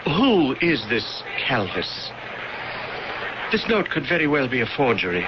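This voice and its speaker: An actor playing a haughty, scheming high priest, the dismissive villain of a radio drama. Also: male voice, very animated, measured pace. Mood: indignant. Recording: dull, noisy old radio broadcast.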